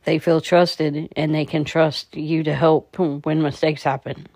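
Woman guiding meditation, very even pitch. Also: a little slow, creaky, no echo. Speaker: meditation guide. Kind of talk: guided meditation narration.

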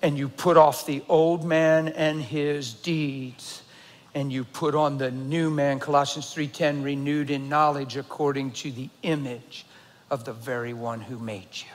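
A pastor in his fifties preaching a sermon, swinging from low, quiet passages to shouting intensity. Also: fairly even intonation, unhurried. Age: 50s. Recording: long hall reverb.

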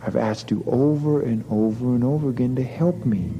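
A man's voice: moderate pace, highs cut off, background noise, lightly acted. A man voicing a stern widowed mother who scolds her children, with a New Mexican accent. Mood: scolding. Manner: sharp scolding, high strained voice.

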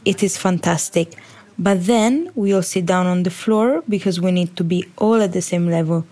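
A woman reading a voice-over translation of a teacher's words in a calm, even manner. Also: on the low side, normal speed.

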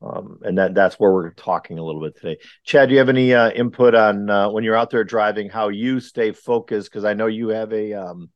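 A man's voice: lowish voice, a little fast, few pauses, dry recording, dull audio. A man from Wisconsin hosting a podcast, chatting casually with many ums and uhs in an earnest, rambling way. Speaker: podcast host. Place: remote recording.

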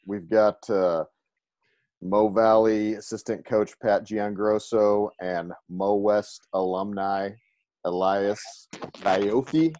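A man's voice: on the low side, steady tone, slow, warm tone, no echo. A man hosting a casual interview, joking and stumbling over his words as he asks questions. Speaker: interview host.

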